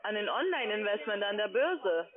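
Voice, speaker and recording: A woman's voice: medium pitch, animated, normal speed. A woman speaking in a curt, impatient way as a call center agent on a phone call from an open-plan office. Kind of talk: phone sales call turning into argument. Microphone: telephone.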